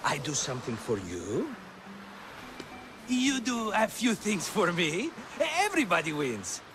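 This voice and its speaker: A man's voice: a little high, huge pitch swings, a little slow, music in the background. A man playing a scheming, wheedling merchant in a video game, glib and theatrical like a salesman. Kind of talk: video game cutscene acting.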